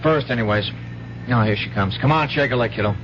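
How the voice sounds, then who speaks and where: A man playing a gruff, bossy street cop in a 1970s radio drama, brusque and slangy. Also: medium pitch, fairly quick, background noise, natural voice. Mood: stern, brisk. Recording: dull vintage radio broadcast, loud music.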